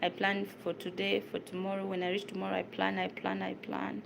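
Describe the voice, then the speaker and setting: A young woman with a Ugandan accent talks casually to her viewers, answering questions as she prepares food. Her voice sits at a middle pitch. The home recording carries clear background noise, with crinkling and clicks around her. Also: monotone, fairly quick. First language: Luganda. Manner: chatty, answers viewer questions while cooking. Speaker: vlogger. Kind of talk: casual chat while cooking.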